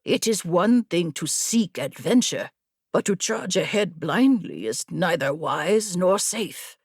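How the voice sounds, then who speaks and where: A woman playing a weary old male samurai scout, cautious and bitter, with measured, dour speech in a storybook reading. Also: fairly low pitch, lively intonation, slightly rough, no echo, clean studio sound, exaggerated voice. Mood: stern, cautioning. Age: older.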